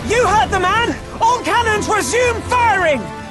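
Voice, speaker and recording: A man's voice: extremely high pitch, expressive, unhurried, over music. An actor playing a battlefield officer in a video game, barking urgent commands at his gun crews.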